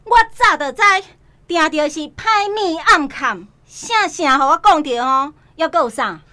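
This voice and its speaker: Pushy big sister in a radio play, high sharp voice, really emphatic. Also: female voice, lively intonation, measured pace, exaggerated voice. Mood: indignant, insistent. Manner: loud, emphatic questioning and instructing. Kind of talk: Taiwanese radio drama acting.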